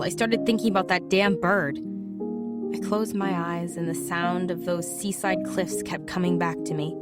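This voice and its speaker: A woman's voice: mid-range voice, lightly acted. An actress playing a restless, introspective teenage girl narrating her own story in an audio drama. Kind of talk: scripted first-person audio drama narration. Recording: prominent music under the voice.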